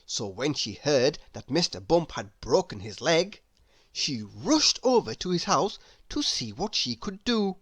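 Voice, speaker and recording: A woman reading a children's story aloud in an expressive, deliberate, theatrical way. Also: sits low, slightly rough, no room sound. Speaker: storybook reader.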